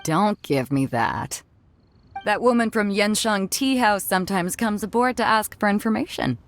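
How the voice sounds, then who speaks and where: A woman's voice: medium pitch, expressive, moderate pace, slight background noise, lightly acted. Woman as bold, wry lady ship captain. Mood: wry banter. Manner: blunt, wry, bantering. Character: bold, blunt, easygoing female ship captain. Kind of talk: video game voice acting.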